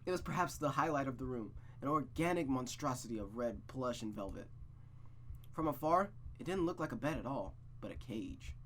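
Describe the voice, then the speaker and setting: A man's voice: medium pitch, expressive, moderate pace, a bit bright, slight background noise. A man reading a visual novel aloud in a suspenseful storytelling style, with playful, casual asides.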